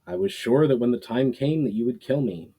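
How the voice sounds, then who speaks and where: A man's voice: medium pitch, expressive, mellow, slightly rough, low-quality recording. Man reading as grave, ancient male mentor. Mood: solemn. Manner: solemn, formal, deliberate.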